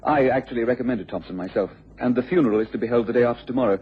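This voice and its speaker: An actor playing a smooth-talking, scheming scientist's assistant in a radio mystery, speaking in a polite, formal way. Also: male voice, medium pitch, rapid-fire. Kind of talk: radio mystery drama acting. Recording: muffled radio recording with background noise.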